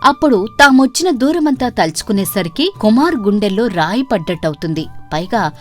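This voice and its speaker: A woman reading a scripted moral story as narrator, in an expressive storytelling delivery. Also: mid-range voice, fairly quick. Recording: music behind the voice. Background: background music.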